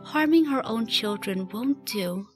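A woman's voice: a little high, expressive, a little slow. A woman narrating a scripted radio play story as its storyteller. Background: music.

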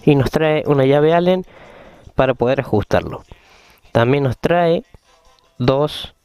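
An Argentine man giving a casual hands-on tutorial in a halting, explanatory way, rewording as he goes. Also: medium pitch, a little mellow, clear, resonant tone, faint music behind. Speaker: motovlogger. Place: room at home, indoors. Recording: dull, lo-fi sound.

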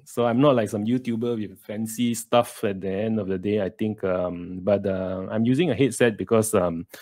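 Malaysian-accented male host in his thirties, low and a bit gravelly, casual livestream Q&A, close dry studio mic. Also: dark tone, no echo. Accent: Malaysian English. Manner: chatty, sprinkles lah and Malay words. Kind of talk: casual live Q&A chat. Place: small room indoors. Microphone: USB studio mic on a stand, close.

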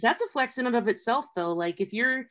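A man with a high voice hosting a casual interview, chatty and eager with questions. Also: animated, fairly quick, a bit bright. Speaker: host.

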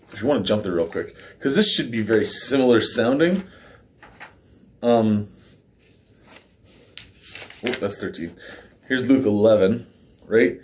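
A man in his thirties leads a weekly men's devotional from his living room beside a fireplace. His voice is a little hoarse from a week of heavy talking. He speaks casually, with fillers and small self-aware asides, and the camera sits a few feet in front of him. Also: fairly low pitch, a little slow, long pauses, a little hiss or hum. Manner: relaxed and conversational, with filler words and small laughs. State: slightly hoarse. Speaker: men's ministry devotional host. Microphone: fixed camera at mid distance.

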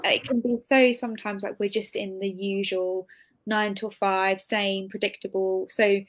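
A woman's voice: medium pitch, little pitch movement, unhurried, poor audio. A woman, hosting an interview in a casual, chatty, informal way. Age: 20s. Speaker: interviewer, bookshop event host. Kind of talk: casual chat. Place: indoor room, on a video call. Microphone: computer mic on a video call.

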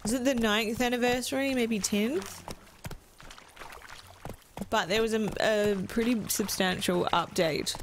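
An Australian woman chatting excitedly and swearing casually as she streams a game. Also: a little high, unhurried, pauses often, slight background noise. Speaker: gaming streamer. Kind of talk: casual let's-play chat.

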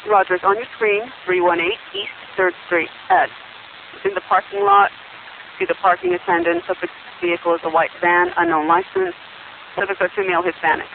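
Fast, high-voiced male police dispatcher on radio. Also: very animated, creaky. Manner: procedural. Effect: two-way radio. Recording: hissy, tinny police radio transmission.

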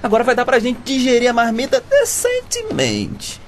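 A man's voice: very high voice, huge pitch swings, normal speed, a little hiss or hum, exaggerated voice. A man playing a naive, stubborn Brazilian bricklayer in a comic radio drama, speaking in a folksy, simple-minded way. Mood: trusting. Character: naive, stubborn, easygoing working-class bricklayer husband.

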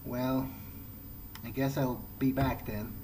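A man's voice: medium pitch, level tone, slow, warm tone, a little hiss or hum, lightly acted, poor audio. A man voicing a thoughtful, cautious vampire who thinks aloud in a hesitant, understated way during a game playthrough. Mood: musing. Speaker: roleplaying video game player. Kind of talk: in-character improvised game playthrough. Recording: game music behind the voice.

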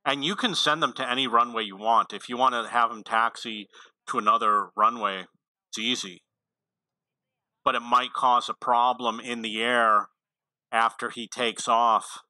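A man's voice: medium pitch, fairly even intonation, moderate pace, pushed and bright, dry recording, low-quality recording. A man giving casual, unscripted tutorial commentary on a video game in a matter-of-fact way.

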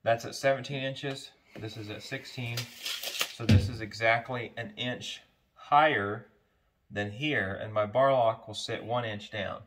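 A man's voice: mid-range voice, fairly even intonation, unhurried. A man, giving an unscripted hands-on how-to in short bursts while he works. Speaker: HVAC sheet metal tradesman demonstrating. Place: large indoor warehouse workshop. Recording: loud workshop noise, metal clanking and clicks.

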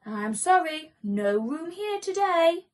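A woman's voice: extremely high pitch, sing-song, slow pace, darkish tone, recorded from a distance, pitched above the performer's own voice, heavily acted. A woman voicing an apologetic, kindly male innkeeper in a children's storybook, speaking with helpful emphasis.

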